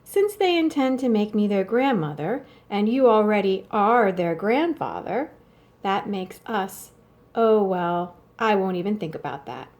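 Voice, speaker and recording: A woman's voice: mid-range voice, lively intonation, measured pace, darkish tone. Woman reading as an imperious old wealthy lady. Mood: wry, imperious. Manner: bossy, dry, matter-of-fact. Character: wry, imperious old wealthy woman dictating her wishes.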